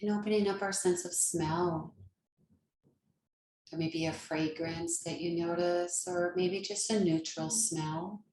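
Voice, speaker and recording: A woman leading a guided meditation as a yoga nidra teacher, speaking gently and soothingly with long pauses. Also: fairly low pitch, steady tone, roomy sound, slight background noise.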